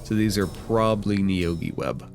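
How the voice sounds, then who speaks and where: A man's voice: sits low, moderate pace, a little mellow, faint music behind. A man running a tabletop game as game master, often restarting and repeating words as he narrates.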